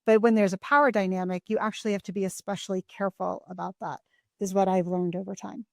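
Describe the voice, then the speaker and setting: A woman's voice: medium pitch, flat delivery, fast, vocal fry, dry recording, studio quality. A woman hosting a podcast who speaks reflectively and thoughtfully from her own experience. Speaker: podcast host. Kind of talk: conversational interview.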